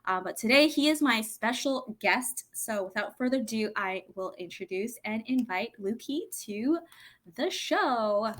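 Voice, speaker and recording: A woman's voice: a little high, animated, unhurried, a bit bright. A woman hosting a live-streamed interview over a video call, chatty and enthusiastic with many fillers. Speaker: host.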